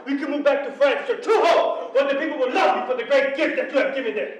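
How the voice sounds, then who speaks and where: A man's voice: very high-pitched, quick pace, highs cut off. A man playing a grandiose, amorous French count in a stage farce. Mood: ardent, grandiose. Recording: very echoey hall, distant microphone.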